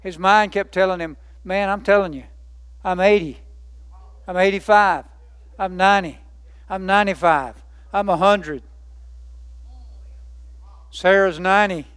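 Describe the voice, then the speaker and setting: A man's voice: high-pitched, fairly even intonation, a little slow, pauses often, fairly bright tone, smooth, dry recording. A man preaching a sermon, emphatic, building lists by repetition and asking rhetorical questions.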